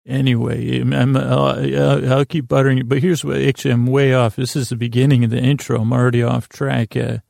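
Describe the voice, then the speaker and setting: Very creaky-voiced man, rambling bedtime podcaster. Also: mid-range voice, level tone, darkish tone, dry recording, studio quality. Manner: meandering, halting, self-interrupting, repeats words. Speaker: podcast host. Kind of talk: rambling bedtime monologue to lull listeners to sleep.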